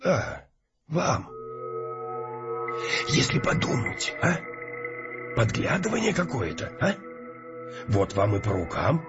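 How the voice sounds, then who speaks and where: A man playing a soft-spoken, insinuating tea lover in an audio drama, coaxing in drawled, halting phrases. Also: mid-range voice, wildly swooping pitch, dull audio, over loud music. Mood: cosy, insinuating. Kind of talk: scripted audio drama dialogue.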